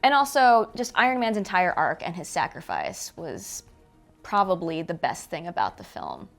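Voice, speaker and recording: A woman's voice: lowish voice, big pitch swings, moderate pace, gravelly, fairly bright tone, soft background music. A woman chatting casually as a film review host. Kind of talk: casual chat.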